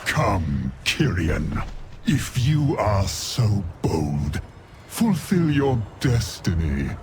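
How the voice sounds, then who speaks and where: Slow, menacing necromancer villain. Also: male voice, on the low side, big pitch swings, noisy background, exaggerated voice. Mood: sinister, taunting. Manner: theatrical, slow, taunting. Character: menacing, arrogant undead necromancer villain commanding slaves. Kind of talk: video game villain acting. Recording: game dialogue under loud music.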